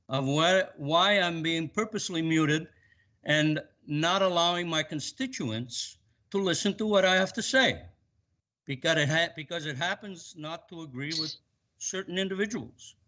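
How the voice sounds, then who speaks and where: Loud, insistent man on a video call. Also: a little high, expressive, moderate pace, dull audio. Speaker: school board trustee. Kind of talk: formal board meeting debate.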